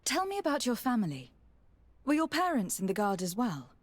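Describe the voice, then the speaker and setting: Composed noble envoy, lively intonation. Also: female voice, a little high, a little fast. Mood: gentle, curious. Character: composed, caring young noblewoman envoy, tactful and earnest. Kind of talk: video game dialogue acting.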